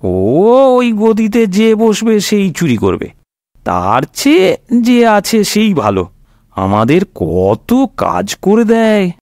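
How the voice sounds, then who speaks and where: A man's voice: high-pitched, huge pitch swings, slow, darkish tone, no room sound, studio quality, pitched above the performer's own voice, heavily acted. A man with an Indian Bengali accent voicing a resigned, grateful working-class voter in a satirical story, justifying himself plaintively.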